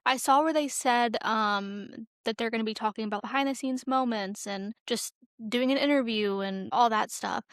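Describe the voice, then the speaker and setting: Young woman chatting, higher-pitched voice, close dry sound. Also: moderate pace, hardly any pauses, dry recording. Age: young adult. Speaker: podcast co-host. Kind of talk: casual pop culture chat.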